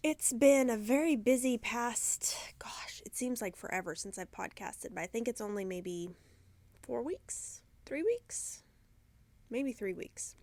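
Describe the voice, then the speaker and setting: A woman with a croaky, stuffed-up voice hosts her own podcast from inside her yarn shop. She chats alone to the camera in a loose, rambling way, pokes fun at herself, and sometimes loses her thread. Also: a little high, animated, unhurried, a little mellow, dry recording. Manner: chatty and rambling, self-deprecating, loses her train of thought. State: congested, croaky from sinus trouble. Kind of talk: casual video podcast chat. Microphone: camera mic, talking alone to camera.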